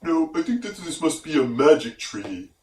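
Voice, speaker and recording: A man's voice: a little high, sing-song, moderate pace, darkish tone, recorded from a distance. A man casually commenting on a story in a slangy, incredulous way. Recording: echoey room, away from the mic.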